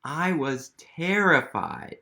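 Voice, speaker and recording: A man's voice: a little high, big pitch swings, slow pace, vocal fry, sharp tone, exaggerated voice. A man voicing a nervous egg who climbs back up a wall, read earnestly in first person from a storybook.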